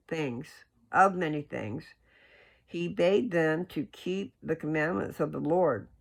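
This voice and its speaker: A person with a creaky, gravelly voice reads and explains scripture in an informal, folksy, rambling way, often checking in with the listener. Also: mid-range voice, low-quality recording. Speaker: host reading scripture aloud and explaining it. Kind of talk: scripture read aloud with casual, rambling commentary.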